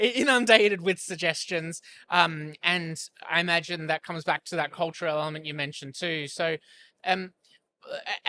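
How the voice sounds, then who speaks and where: A man interviewing a guest with long, carefully framed questions. His voice is fairly high and has a bright, forward edge. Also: a little hiss or hum. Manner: thoughtful, framing long questions. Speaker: podcast host.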